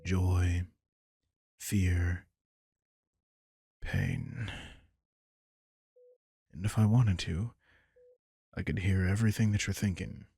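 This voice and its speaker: A man playing a protective, gentle vampire king in an intimate roleplay audio, talking unhurriedly and soothingly to one listener in a gravelly, husky voice. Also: deep voice, steady tone, takes it slowly, long pauses, dry recording, clean studio sound, exaggerated voice. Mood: earnest, confiding. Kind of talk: intimate roleplay audio drama, comforting monologue.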